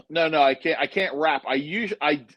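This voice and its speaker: Middle-aged male guest for a chat show. Slightly higher pitch, dry recording, loose joking storyteller. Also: normal speed, gravelly, no room sound. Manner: joking, rambling storyteller, speeds up when excited.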